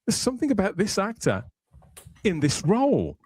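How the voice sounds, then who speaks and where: A man's voice: sits high, huge pitch swings, moderate pace, darkish tone, husky, no room sound, studio quality. A man hosting a podcast, moving between conversational talk and polished scripted narration with wordy, theatrical phrasing. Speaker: podcast host.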